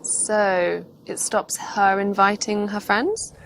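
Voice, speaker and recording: Woman chatting dating tips as cohost, average pitch, slight background noise. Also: steady tone, slow, rough recording. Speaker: co-presenter. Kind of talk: casual discussion of dating tactics.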